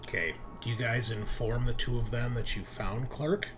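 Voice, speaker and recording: A man running a tabletop roleplaying game, narrating casually in a halting, searching, informal way. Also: medium pitch, fairly even intonation, over music. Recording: clearly noisy recording with room ambience.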